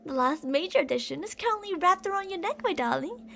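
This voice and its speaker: A woman's voice: extremely high pitch, animated, fast talker, gravelly, fairly bright tone, slight background noise, full character voice. A woman playing an obsessive older female kidnapper in an ASMR roleplay, cooing and possessive, doting yet menacing, with theatrical delivery. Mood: calm, doting. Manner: cooing, possessive, theatrical, with pet names and whispered phrases.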